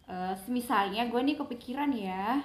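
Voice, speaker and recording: A woman's voice: a little high, normal speed. A woman chatting casually as an interviewer, in a slangy, playful way. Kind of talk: casual chat. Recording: echoey room with background noise.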